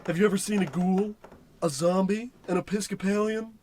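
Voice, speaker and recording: A man's voice: sits high, fairly even intonation, normal speed, darkish tone, slight background noise, lightly acted. An actor playing a cocky, bossy salesman in a parody old-time radio comedy, patronizing and oblivious. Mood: dismissive, patronizing.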